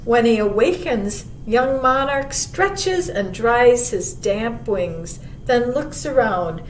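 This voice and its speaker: A woman reading a children's storybook aloud with expressive narration for young listeners. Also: slow. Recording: roomy, distant mic, faint rumbling noise.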